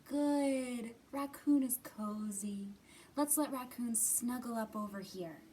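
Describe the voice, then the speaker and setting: A woman's voice: fairly high pitch, slow, warm tone, slight background noise. A woman hosting a children's storytime, speaking in simple, encouraging language meant for young children, as she reads and leads puppet play. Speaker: children's library storytime host. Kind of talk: children's storytime reading, puppets and sing-along. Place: indoors in a library.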